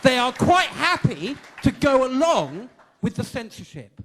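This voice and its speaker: An older Englishman takes the floor from the audience of a large hall and speaks loudly as a local party chairman, declaiming his points. His voice is pitched unusually high for a man. A faint noise sits under the recording. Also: big pitch swings, a little slow, some chatter behind. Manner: loud, declaiming voice.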